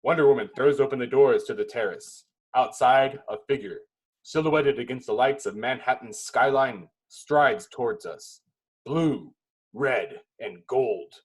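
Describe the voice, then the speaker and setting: A man reading stage directions as narrator at a table read, in dramatic, clipped phrases with pauses. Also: medium pitch, breathy edge, poor audio.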